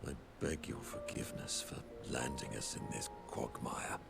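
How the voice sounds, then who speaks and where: Very deep, gravelly gentle giant. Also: male voice, exaggerated voice. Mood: solemn, contrite. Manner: slow, formal, old-fashioned apologetic speech. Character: huge gentle apologetic creature, clumsy show-off, easily tearful. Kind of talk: video game character acting. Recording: game audio under loud music.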